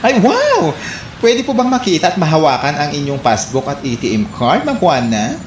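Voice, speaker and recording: A man playing a polite, formal, smooth-talking survey taker with an ingratiating manner in a comedy radio drama. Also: on the high side, wildly swooping pitch, moderate pace. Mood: amazed, eager. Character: smooth-talking young male survey taker, scheming stranger. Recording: echoey room, faint background noise.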